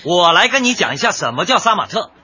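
Brash, raspy high-voiced young man. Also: very animated, fast talker, pushed and bright, lo-fi sound, exaggerated voice. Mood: indignant, lecturing. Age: young adult. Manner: brash, glib, protesting, lecturing. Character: cocky, argumentative young dyed-hair male DJ. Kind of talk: light comedy radio drama acting.

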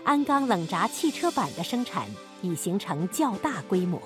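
A woman narrates a radio drama in a scripted style. Her mid-pitched voice is animated, with music clearly playing behind it. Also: moderate pace.

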